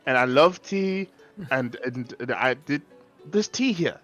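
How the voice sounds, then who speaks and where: A man's voice: medium pitch, animated, a little slow, a bit bright, highs cut off, faint music behind. A man playing a tabletop character, talking in an earnest, stammering way. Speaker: player.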